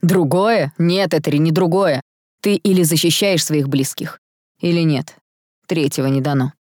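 A woman's voice: low voice, normal speed, a little mellow, dry recording, clean studio sound. A woman voicing a hot-tempered young man, blunt and stern, in an audiobook story. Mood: indignant. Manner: blunt, through clenched teeth, stern. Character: hot-tempered, broad-shouldered young man defending his sister.

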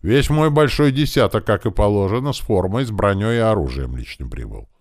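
A man voicing a young, burly carpenter foreman with a bassy voice and a plain, deferential manner, in an audiobook story. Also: big pitch swings, a little fast, dry recording, studio quality. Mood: dutiful.

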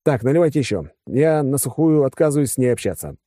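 A man's voice: medium pitch, sing-song, quick pace, mellow, no echo, clean studio sound, pitched above the performer's own voice. A man playing a wry, tipsy space officer who gives casual orders.

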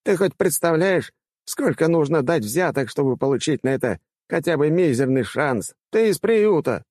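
A man's voice: a little high, normal speed, a little mellow, no room sound, clean studio sound, pitched above the performer's own voice, lightly acted. A man voicing a pompous, exclamatory elderly male academy rector in a fantasy audiobook. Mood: blustering, persuasive.

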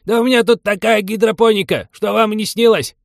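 A man's voice: sits high, steady tone, a little fast, vocal fry, no room sound, clean studio sound, voice pushed up. A man voicing a belligerent, scornful old hermit grandpa in an audiobook story. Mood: scornful boasting. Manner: scornful, defiant.

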